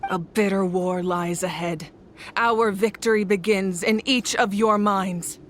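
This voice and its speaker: An actress playing a solemn, resolute leader of a nation at war in a video game, speaking in a measured way. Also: female voice, medium pitch, fairly even intonation, slight background noise, lightly acted.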